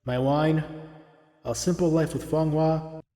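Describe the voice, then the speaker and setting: A man playing a laid-back, loyal fighter in an audio drama, speaking calmly and earnestly. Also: on the high side, unhurried, lightly acted. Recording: echoey, long hall reverb.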